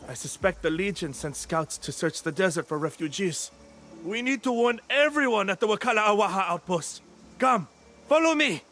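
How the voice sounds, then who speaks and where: An eager desert warden. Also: male voice, high-pitched, moderate pace, soft background music. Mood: urgent. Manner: energetic, exclaiming. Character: brave male desert warden, eager and proud. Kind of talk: video game cutscene acting.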